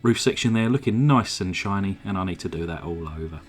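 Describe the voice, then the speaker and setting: Croaky, relaxed man narrating his workbench restoration. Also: low-pitched, a little fast, faint music behind. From England. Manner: relaxed, chatty, many "uh" fillers. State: slightly croaky, sore throat. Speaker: model car restorer. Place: home workshop. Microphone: desk microphone.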